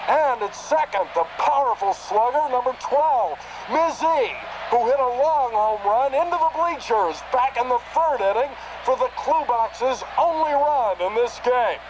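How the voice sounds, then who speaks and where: Very high-pitched excitable baseball announcer. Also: male voice, measured pace, hardly any pauses. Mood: excited, suspenseful. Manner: dramatic, suspense-building. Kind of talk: sports play-by-play parody. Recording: noisy outdoor-sounding old TV soundtrack.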